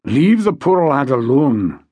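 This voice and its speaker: A man's voice: mid-range voice, very animated, slow pace, dark tone, husky, dry recording, exaggerated voice. A male narrator acts an army major in a historical audiobook. The officer is composed, protective and wry, speaking in a very dry, close sound. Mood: reproving. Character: calm Scottish infantry major, protective and wry.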